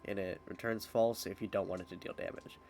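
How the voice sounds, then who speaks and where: A man's voice: deep voice, fairly even intonation, a little fast, a little mellow, a little hiss or hum. A young man hosting a coding tutorial, explaining step by step with frequent "uh"s, his voice slightly off after being sick. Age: young adult. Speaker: modding tutorial host. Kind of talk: screen-recorded coding tutorial.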